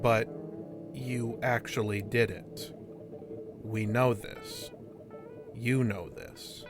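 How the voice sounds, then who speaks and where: A man playing a cold, implacable alien interrogator in an audio drama, speaking in a measured, clinical, detached way. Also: mid-range voice, steady tone, music in the background. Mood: cold, accusing.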